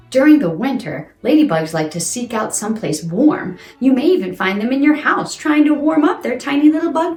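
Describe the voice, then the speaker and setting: Animated high-voiced woman teaching children. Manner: exaggerated, exclamatory, asks questions of young listeners. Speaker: children's educational presenter. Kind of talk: playful children's science read-aloud. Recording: roomy echo with music underneath. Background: music.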